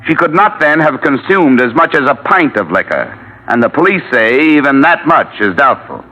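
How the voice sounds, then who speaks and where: An actor playing a tough investigator accused of murder in an old-time radio drama, speaking in a terse, direct, intense way. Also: male voice, mid-range voice, sing-song, normal speed, lightly acted. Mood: determined. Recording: dull vintage radio recording.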